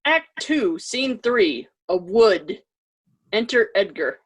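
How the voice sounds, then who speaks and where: Husky woman reading stage directions. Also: medium pitch, big pitch swings, takes it slowly. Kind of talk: scripted narration.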